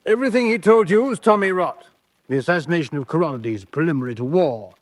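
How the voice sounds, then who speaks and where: A senior official in a radio spy play, his voice on the high side and dryly recorded. He is curt and sceptical, with pompous, precise diction. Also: expressive, fast talker, dry recording. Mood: dismissive. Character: brusque, sceptical senior British government official. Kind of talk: old-fashioned radio drama acting.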